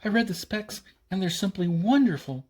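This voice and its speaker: Man putting on a high-pitched eager young girl pilot. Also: expressive, normal speed, a little mellow. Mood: bright, eager. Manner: sweet, eager, girlish. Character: cheerful girl spaceship pilot of about twenty-one.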